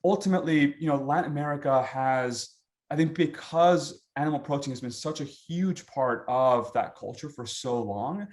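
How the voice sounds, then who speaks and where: A man's voice: on the high side, moderate pace, a little mellow. A man speaking as a panelist, hesitant, with many fillers and restarts. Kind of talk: panel discussion.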